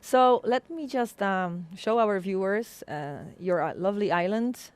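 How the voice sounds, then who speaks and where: A woman's voice: medium pitch, measured pace, smooth. A woman with a Polish accent hosting an interview in English, a teacher by trade. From Poland.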